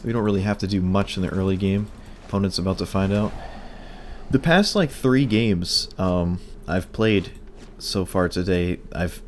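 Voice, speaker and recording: A man streaming a card game, talking through his plays in casual commentary, hoarse and losing his voice, wry and muttering at bad luck. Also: sits low, slight background noise. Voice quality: breaking, cracking voice.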